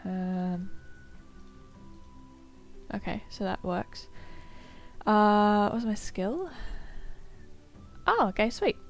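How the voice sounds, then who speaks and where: A woman's voice: mid-range voice, very animated, very slow, pauses often, faint music behind. A woman in casual let's-play commentary, reading tutorial text aloud and reacting, slightly nasal from a cold. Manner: chatty, upbeat, muses aloud with fillers. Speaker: gameplay streamer.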